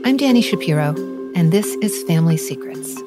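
A woman hosting an interview podcast, speaking in a measured, reflective way. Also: lowish voice, expressive, loud music behind the voice. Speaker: podcast host. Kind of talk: scripted intro then interview questions.

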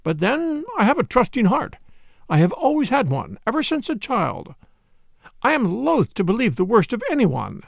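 A man's voice: very high voice, huge pitch swings, normal speed, vocal fry, no echo, clean studio sound, voice pushed up, heavily acted. A man voicing a fretful, self-pitying older mother in a storybook, plaintive, fussy and rambling. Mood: pious fretting.

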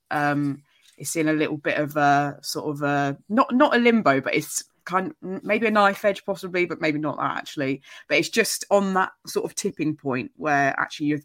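A woman talking as a guest on a football podcast, hedging her views with many restarts and self-corrections. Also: low-pitched. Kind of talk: casual football podcast chat.

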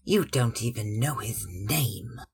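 A sullen young man, blunt and suspicious, speaks curtly in a husky voice, as a man reads him. The delivery is slow and weighty. It is a storybook reading. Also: on the low side, lively intonation, heavily acted. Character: sullen, brooding young man about to leave home.

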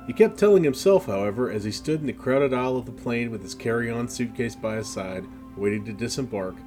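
A man reading a story chapter as a scripted audiobook narration. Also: medium pitch. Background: background music with guitar.

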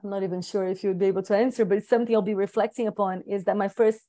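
A woman whose first language is Portuguese speaks English in a warm, mellow voice. She talks in a searching, hesitant way and often restarts her sentences as she reflects. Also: medium pitch, steady tone, rapid-fire. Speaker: participant in a group conversation. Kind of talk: reflective group conversation.

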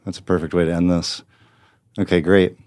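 A man hosting a podcast, chatting casually and interviewing guests in a relaxed conversational way. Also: low voice. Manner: quick, conversational, thanks guests. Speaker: podcast host.

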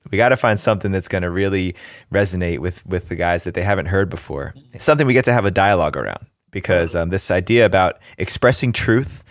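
A man's voice: low voice, quick pace, no echo, studio quality. A man from New Jersey hosting a podcast interview, upbeat and brotherly, laughing often. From New Jersey, USA. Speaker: podcast host.

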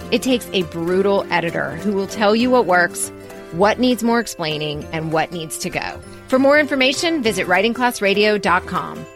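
A woman's voice: mid-range voice, a bit bright. Woman podcast host over music. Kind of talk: scripted podcast narration.

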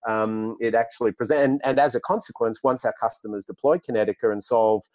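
A man's voice: mid-range voice, fairly quick, a little mellow, no room sound. A man with an Australian accent, answering questions as a tech company executive, often restarting and repeating words. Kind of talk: podcast interview answers.

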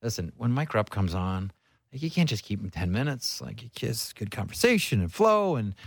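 A man's voice: lowish voice, big pitch swings, moderate pace, slight background noise. A man hosting a sports radio show in a chummy, conversational way. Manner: chummy, calls guests pal.

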